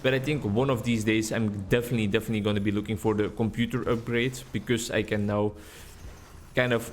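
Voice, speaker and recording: A man's voice: on the low side, steady tone, quick pace, slight background noise. A Dutch man, a native Dutch speaker, giving rambling, chatty gameplay commentary in English on a desk mic. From Netherlands. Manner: rambling, chatty, self-deprecating. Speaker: gaming YouTuber.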